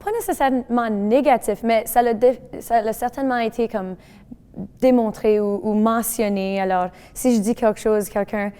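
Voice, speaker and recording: Mellow-voiced woman teacher, reverby. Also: on the high side, steady tone, normal speed, smooth. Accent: mixed Manitoban French and English. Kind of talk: testimonial talk.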